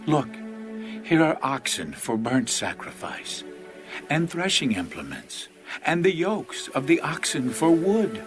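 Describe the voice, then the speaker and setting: A man's voice: on the high side, big pitch swings, slow pace. An actor playing a deferential, generous landowner addressing his king in a dramatized scripture reading. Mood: humble, earnest. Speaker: actor in a dramatized audio Bible. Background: music.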